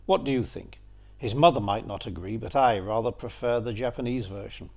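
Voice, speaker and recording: A man's voice: mid-range voice, fairly quick, gravelly. An older man giving an illustrated lecture, with dry, wry asides. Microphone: seen on camera briefly, then voice-over.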